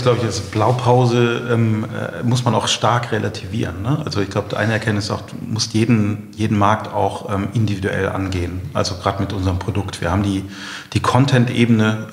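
A middle-aged man, a company co-founder, answers interview questions in a reflective, conversational way, often softening points as his own opinion. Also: fairly low pitch, flat delivery, a little fast, gravelly. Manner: reflective, hedging with frequent 'I think'. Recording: roomy, echoing interview recording.

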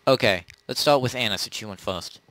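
Halting male game master, dry close sound. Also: fairly low pitch, a bit bright, no room sound. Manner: halting, pieces scenes together as he goes. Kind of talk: improvised narration of a tabletop zombie role-play.

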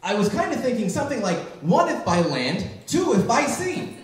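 A man's voice: very high-pitched, sing-song, moderate pace, far from the mic. A young man playing an eager, goofy general with a casual, sheepish comic delivery in a live radio-style skit. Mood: sheepish, hopeful. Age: young adult. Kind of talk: live old-time radio comedy skit. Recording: very echoey room, off the mic.

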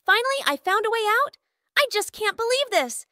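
A woman voicing a timid young female clown in a fan comic dub, high and flustered. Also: big pitch swings, brisk, sharp tone, heavily acted. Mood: hopeful.

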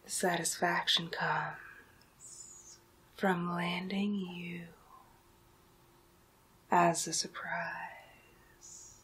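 A young adult woman performing an ASMR roleplay as a magical enchantress, whispering breathily in a hypnotic, drawn-out way. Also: fairly low pitch, flat delivery, fairly bright tone, a little hiss or hum. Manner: slow, hypnotic, drawn-out phrasing. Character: magical enchantress turning listener into Halloween candy.

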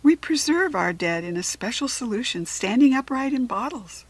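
A woman's voice: a little high, animated, normal speed, slightly rough, no echo, pitched above the performer's own voice. A woman voicing a polite, chatty, slightly superior talking hare from another world in a children's storybook, articulate and formal. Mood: lofty, matter-of-fact. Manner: articulate, formal, self-assured. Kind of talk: children's storybook character reading.

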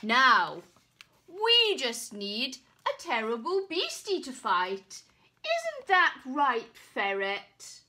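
Storybook knight voiced by a woman: hearty, eager, declamatory, forceful tone. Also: high-pitched, wildly swooping pitch, full character voice. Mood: eager, boastful. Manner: declamatory, exclaiming with drawn-out emphasis. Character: bold, hearty male knight eager for battle.

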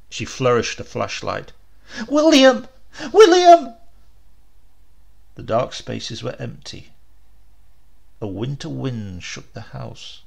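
A man narrating a scripted story as an audiobook reader, with deliberate, theatrical phrasing. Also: mid-range voice, vocal fry, fairly bright tone, slightly rough.

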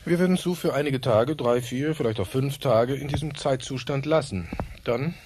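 A man playing a scientist who leads an experiment, explaining in a measured, formal way in a radio drama. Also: medium pitch, natural voice. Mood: measured, explanatory. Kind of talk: German radio drama acting. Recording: clear background noise.

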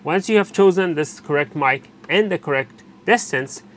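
A man's voice: fairly high pitch, moderate pace. Man giving a tutorial over background noise. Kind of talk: unscripted step-by-step instructional narration. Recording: steady background noise, room ambience.

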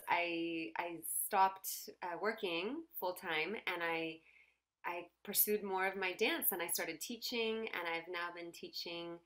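A woman's voice: on the low side, a bit bright. A woman, giving friendly, explanatory answers as a guest in a video call interview. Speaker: interview guest, dance teacher. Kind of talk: conversational interview. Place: room at home, video call.

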